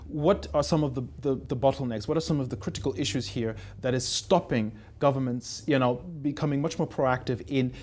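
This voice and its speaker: A man hosting a business TV show, asking fluent, measured questions with a few small repeats. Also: medium pitch, a little mellow. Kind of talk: broadcast interview.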